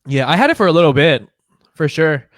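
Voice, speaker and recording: A young man from Illinois co-hosting a podcast, chatting casually, profane and animated, laughing often. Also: on the high side, fairly quick, no echo. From Illinois, United States. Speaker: podcast co-host. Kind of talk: casual chat.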